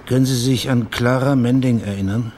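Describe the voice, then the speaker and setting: An actor playing a probing, quietly insistent private investigator in a German radio crime drama, speaking in a measured, matter-of-fact way. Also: male voice, mid-range voice, fairly even intonation, slight background noise, lightly acted. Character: probing, plain-spoken male private investigator named Max, quietly insistent.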